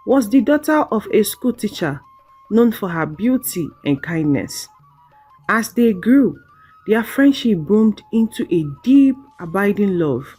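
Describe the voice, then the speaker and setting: A woman narrating a scripted folktale in a measured, dramatic storytelling manner. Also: mid-range voice, a little mellow, slightly rough.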